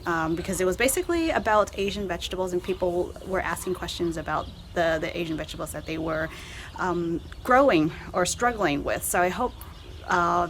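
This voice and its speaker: A woman's voice: fairly low pitch, a little hiss or hum. A young woman hosting her gardening channel, chatting casually with easy fillers outdoors in her garden. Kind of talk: casual vlog intro.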